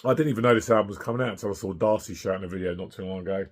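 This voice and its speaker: Hoarse middle-aged guy, croaky gravelly voice, chatty and keen, lots of ums. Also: on the low side, racing. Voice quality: gravelly, husky. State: hoarse from singing. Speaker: vinyl collector vlogger. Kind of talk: casual record collection show-and-tell.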